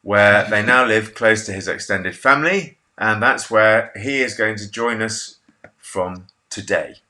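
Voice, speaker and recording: A man's voice: on the low side, measured pace, bright, forceful tone. A man in his thirties hosting a casual video-call interview from a home office, chatty, jokey and self-deprecating. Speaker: interview host.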